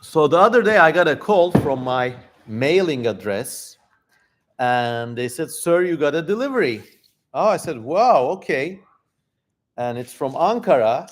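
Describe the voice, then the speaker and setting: Higher-pitched man hosting a livestream. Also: big pitch swings, unhurried. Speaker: livestream host. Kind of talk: casual livestream chat, reading out viewer comments.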